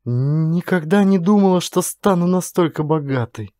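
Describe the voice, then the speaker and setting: Audiobook role: male narrator as a young expectant mother, poor and wary but hopeful, mellow and closely recorded. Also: on the high side, expressive, moderate pace, no echo, studio quality, pitched above the performer's own voice, close to an everyday voice. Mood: amazed delight. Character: young pregnant woman, poor, wary, wide-eyed with hope.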